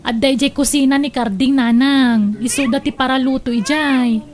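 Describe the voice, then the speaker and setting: A woman's voice: sits high, steady tone, moderate pace, close to an everyday voice. A woman playing a cheerful, contented married daughter in a radio drama, speaking upbeat and reassuringly with an Ilocano accent.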